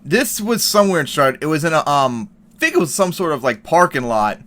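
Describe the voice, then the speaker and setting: A man's voice: on the high side, sing-song, brisk, fairly bright tone. A man giving heated, profane commentary on an article and news clips, reading passages aloud and reacting. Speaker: commentary vlogger.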